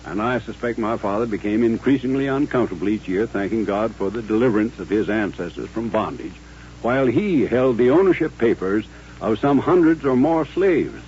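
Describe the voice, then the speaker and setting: A man acting in an old-time radio drama as a courtly, dignified colonel of mature years, with formal, measured speech. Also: on the low side, lively intonation, a little mellow, natural voice. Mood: grave, dignified. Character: courtly, dignified older Southern colonel turned rancher. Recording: muffled old radio recording with hum.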